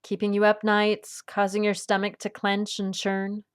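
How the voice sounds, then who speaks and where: A kindly adventuress, played by a woman talks at a middling pitch. She is patient and reassuring, with a dry wit, in the style of an adventure audio drama. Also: monotone, a little slow, smooth, natural voice. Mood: gentle, reassuring. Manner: gentle, reassuring, dryly witty. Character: kindly, patient, wry adventuress and gentle peacemaker.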